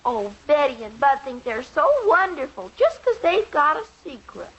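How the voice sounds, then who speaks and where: A woman playing an excitable, childish young daughter in a 1950s radio sitcom. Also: high voice, moderate pace, rough recording. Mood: eager, defensive. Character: excitable young schoolgirl, the family's little daughter. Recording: old radio broadcast, faint noise.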